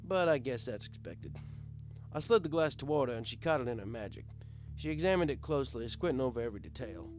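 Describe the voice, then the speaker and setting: A man narrating a comic first-person story in a wry, expressive, conversational storyteller's way. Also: medium pitch, fast talker, hardly any pauses, soft background music. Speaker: audiobook narrator.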